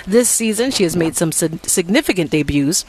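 A woman's voice: on the low side, expressive, brisk, darkish tone, slightly rough. A woman interview host who introduces guests and asks questions in an upbeat, enthusiastic, friendly way.

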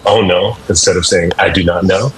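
A man's voice: sits low, fairly even intonation, fairly quick, poor audio. A man working as a French teacher, explaining things conversationally in an encouraging, instructive way, with noticeable background noise. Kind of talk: conversational language lesson.

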